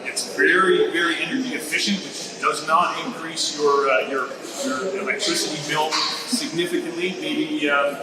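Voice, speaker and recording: A Canadian man casually explaining electric cars in an earnest, helpful way at a live event. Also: fairly high pitch. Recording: echoey room, far from mic, loud background noise.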